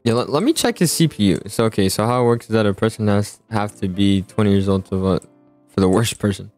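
Young male gamer for casual school roleplay, animated and slangy, music under the voice. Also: on the low side, fast. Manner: slangy, excitable, stammering repeats. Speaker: roleplay video host playing a student. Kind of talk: casual improvised game roleplay.

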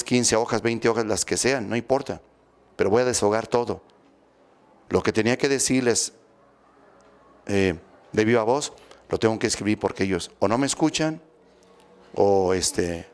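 A Mexican man in his fifties, a priest, giving a homily in a steady, instructive way, repeating phrases for emphasis, into a close handheld microphone. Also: fairly low pitch. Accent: Mexican Spanish. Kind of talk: sermon on family healing. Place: small church room with statues, indoors. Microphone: handheld mic close to mouth.

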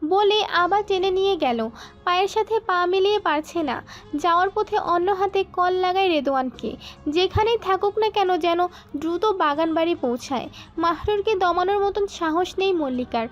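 A woman reading scripted dramatic narration for an audio story. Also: very high-pitched. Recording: muffled band-limited sound, music bed.

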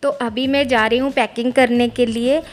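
A woman's voice: on the high side, fairly even intonation, moderate pace, faint music behind. A woman in her thirties chatting casually in a family vlog, mixing in English words, filmed on a phone held at arm's length. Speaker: family vlogger. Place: home and on the road, train station.

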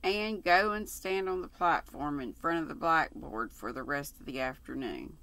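A sleepy reader doing a solemn gravelly male schoolteacher. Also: mid-range voice, lively intonation, normal speed, pushed and bright, pitched below the performer's own voice. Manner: solemn, disapproving.

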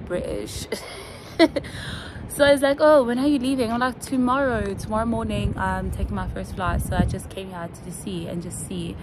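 A young woman from Namibia in her twenties, chatting casually to her phone in a selfie vlog, rambling with plenty of fillers. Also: fairly low pitch. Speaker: vlogger. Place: outdoor rooftop terrace, then indoors. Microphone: phone held at arm's length. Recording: phone audio with background noise.

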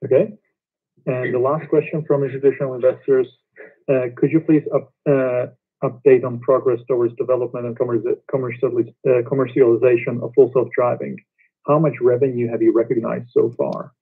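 A man's voice: mid-range voice, little pitch movement, a little fast, breathy edge. A man moderating an earnings call, reading out submitted questions from investors. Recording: muffled, lo-fi conference call audio.

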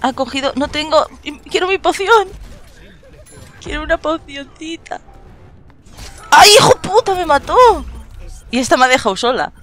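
A woman with an accent from Spain chatting excitedly while playing a shooter game with a friend, laughing and swearing often. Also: sits high, moderate pace, pauses often, faint music behind. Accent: Spanish from Spain. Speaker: gaming channel host. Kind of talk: casual gameplay chat with a friend.

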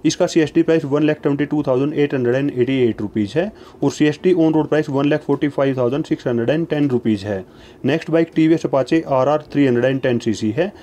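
A man narrating a voice-over, reading out long lists of prices in a steady, even, formulaic way. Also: a little high, fast talker.

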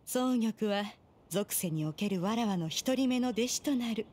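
A woman's voice: a little high, animated, unhurried, a little mellow. A woman playing a proud, aloof immortal master in an anime game, speaking in imperious, formal, archaic language. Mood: haughty, composed. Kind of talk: Japanese anime game voice acting.

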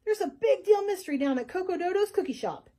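A woman's voice: very high-pitched, lively intonation, fast talker, dark tone, pitched above the performer's own voice. Woman doing a little plant detective. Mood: earnest. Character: earnest male plant detective, a Venus flytrap.

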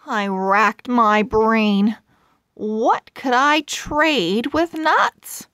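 Very slow, high-voiced woman reading to children. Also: fairly bright tone, dry recording, studio quality. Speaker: read-aloud storyteller. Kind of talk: children's book read-aloud.

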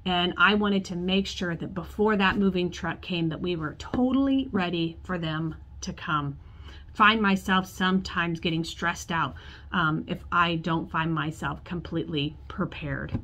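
A woman in her forties, a pastor, preaching a sermon to camera from her office, telling a story in a warm conversational way. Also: on the low side, unhurried. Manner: storytelling, acts out quoted voices, gestures with hands. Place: church office with bookshelves, indoors.

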